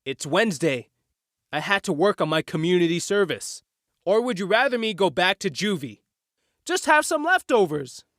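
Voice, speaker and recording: A man's voice: high-pitched, very animated, normal speed, fairly bright tone, no room sound, studio quality. A man playing an exasperated, sarcastic teenage boy with an aggrieved manner in an audio drama. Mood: bitter sarcasm.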